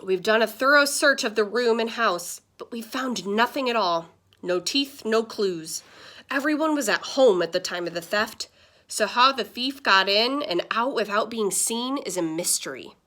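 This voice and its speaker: A woman's voice: fairly high pitch, expressive, normal speed, pushed and bright. A woman voicing a grave, earnest police officer with a formal manner in a storybook.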